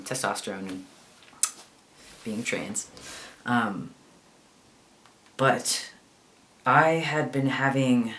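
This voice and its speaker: A man's voice: on the low side, a bit bright, a little hiss or hum. A young man chatting casually in a video diary, rambling with plenty of fillers. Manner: rambling, chatty, lots of ums. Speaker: vlogger.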